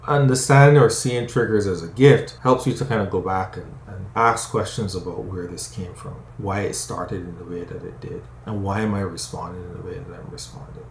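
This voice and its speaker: A man from Barbados, a conflict coach and psychologist, giving an educational talk to camera in a conversational way and often asking "right?". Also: fairly low pitch. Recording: roomy echo.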